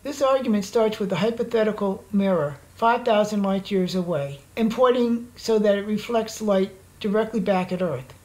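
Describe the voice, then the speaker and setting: A woman narrating a scripted slide lecture in a formal, measured reading manner. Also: medium pitch, warm tone, low-quality recording.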